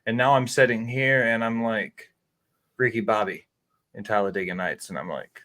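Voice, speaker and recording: A man's voice: fairly low pitch, fairly even intonation, moderate pace, bright, forceful tone. Man, 30s, chatty guest chef, candid storyteller, salty language. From Tennessee. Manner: candid, rambling storyteller who swears freely. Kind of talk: casual interview talk.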